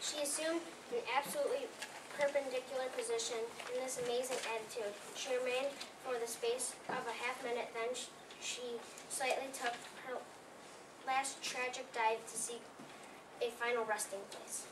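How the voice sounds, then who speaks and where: Female readers theater reader, highish voice, scripted account, echoing distant pickup. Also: steady tone, moderate pace. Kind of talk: scripted dramatic reading. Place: library readers theater performance, indoors. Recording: echoey room from afar, noisy.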